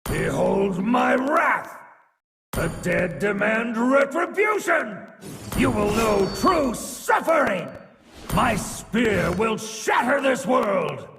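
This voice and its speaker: A long reverb and music surround this wrathful betrayed warrior from a video game. The voice is pitched high for a man and swings dramatically in range. The delivery is grandiose and menacing, with a gravelly edge. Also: animated, slow, shouting, distant mic, heavily acted. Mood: furious. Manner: theatrical, grandiose, menacing declamation. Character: vengeful betrayed male warrior wielding infernal worm power, wrathful. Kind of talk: video game character voice lines.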